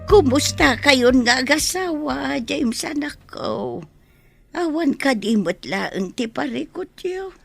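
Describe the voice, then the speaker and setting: A woman playing a frail, gentle old mother in an Ilocano radio drama, tender and husky, with an Ilocano accent. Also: sits high, animated, takes it slowly, soft background music, exaggerated voice. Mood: warm.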